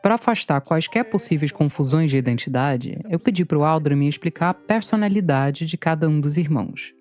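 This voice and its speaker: A woman's voice: low voice, lively intonation, fairly quick, darkish tone, faint music behind. A woman narrating a documentary podcast in a scripted, playful way, mixing her lines with interview clips. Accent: Brazilian Portuguese. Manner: dry, playful storytelling.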